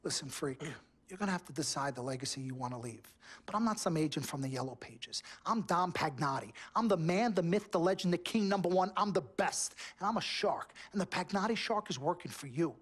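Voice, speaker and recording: A brash, fast-talking sports agent with a New York accent in a basketball game cutscene. His voice is husky and he talks in nonstop boastful sales patter, hyping himself up. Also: on the high side, expressive. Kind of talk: video game cutscene acting.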